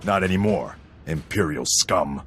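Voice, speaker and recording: An actor playing a stern, no-nonsense army sergeant in a sci-fi video game, with gruff, clipped military delivery. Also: male voice, sits low, unhurried, fairly bright tone, soft background music. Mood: threatening. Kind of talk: dramatic video game acting.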